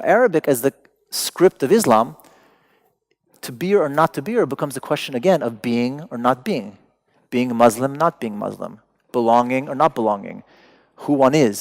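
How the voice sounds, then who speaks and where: A man's voice: medium pitch, a little mellow. A man, a native Persian speaker, giving a talk to an audience, wry and digressive with joking asides. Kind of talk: lecture to an audience.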